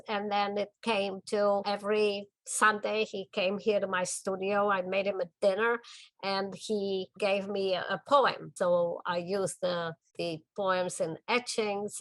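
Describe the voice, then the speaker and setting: An older woman from the Czech Republic with a Czech accent, talking about her art in a documentary interview in her home studio, gentle and thoughtful with small pauses. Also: mid-range voice, no room sound, poor audio. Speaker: artist.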